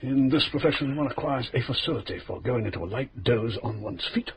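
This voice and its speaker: An actor playing a fussy, pompous private inquiry agent who talks in long-winded formal phrases, in a radio drama. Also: male voice, medium pitch, animated, fairly quick, a little hiss or hum, natural voice.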